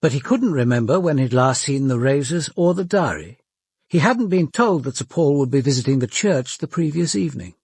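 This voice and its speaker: A senior British detective in a radio drama, composed and precise. His voice sits a little high for a man, slightly rough-edged and closely, dryly recorded. He narrates and probes with formal, measured care. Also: animated, darkish tone, dry recording, studio quality. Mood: measured, observant. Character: composed, probing male senior police detective narrating a murder inquiry.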